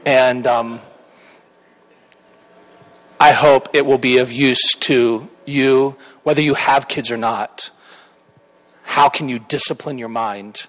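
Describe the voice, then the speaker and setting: A pastor in his thirties speaks earnestly at church, introducing the evening's guest and then leading a prayer. His pitch is ordinary for a man, and his sentences wander and restart as he goes. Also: fairly even intonation, slow, long pauses, a bit bright.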